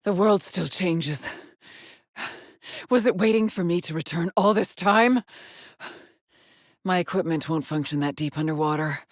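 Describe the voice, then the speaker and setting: An actress playing a haunted, driven female astronaut in a video game, brooding and introspective in measured solitary log monologues. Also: lowish voice, no room sound, clean studio sound. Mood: uneasy wonder. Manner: slow, measured, brooding delivery with pauses.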